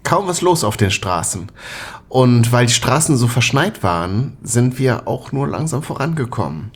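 An actor playing an affectionate, mischievous young man in a naturalistic, conversational audio drama style with a husky voice. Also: mid-range voice, sing-song, normal speed. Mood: relaxed, mildly bored.

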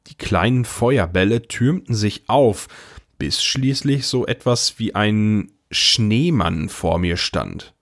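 Audio drama casting: man as deep-voiced older brother, first-person narrator with dry, understated wit. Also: slow. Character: wry, unflappable older brother narrating his story.

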